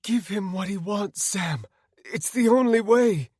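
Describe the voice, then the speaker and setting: Casting: man as scared young woman, high, fragile, pleading, audiobook drama. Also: expressive, unhurried, darkish tone, slightly rough, dry recording, studio quality, heavily acted. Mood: desperate pleading. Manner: pleading, tremulous.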